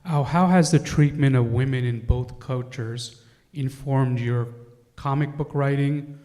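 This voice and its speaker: A man moderates a panel, asking slow, measured questions in a mellow voice. He sits far from the mic, and his voice trails into a long hall reverb. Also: medium pitch.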